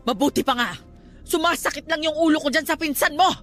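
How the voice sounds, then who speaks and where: An actress playing a stern, superstitious middle-aged aunt in a radio drama, scolding and emphatic. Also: female voice, high-pitched, a little fast, fairly bright tone, soft background music.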